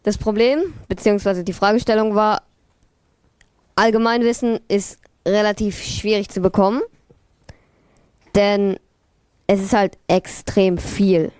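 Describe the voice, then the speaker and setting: A woman's voice: mid-range voice, steady tone, measured pace, long pauses, darkish tone, clear, resonant tone, no room sound. A teenage girl presenting her team's project, hesitant and full of fillers. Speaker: student presenter.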